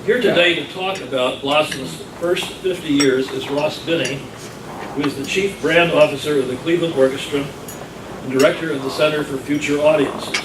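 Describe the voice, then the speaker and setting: Club introducer: man, measured formal reading, roomy sound with clear background noise. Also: a little high, fairly even intonation. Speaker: club member introducing the speaker. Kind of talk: prepared speech read aloud. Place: club meeting room. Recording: echoey room, distant mic, background clatter.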